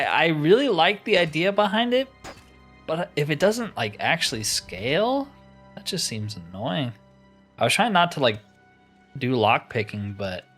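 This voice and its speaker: A man's voice: on the high side, a bit bright. A man giving casual let's-play commentary, musing aloud with wry asides as he plays. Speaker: gamer narrating his playthrough.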